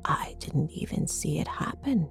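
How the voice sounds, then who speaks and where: A woman voicing a frightened, confused mother in an audio story, with a breathy, whispery, pleading delivery. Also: deep voice, sing-song, soft background music, full character voice. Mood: weary shock. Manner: drawn-out, gasping, pleading. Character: frightened, confused mother gripped by night terrors.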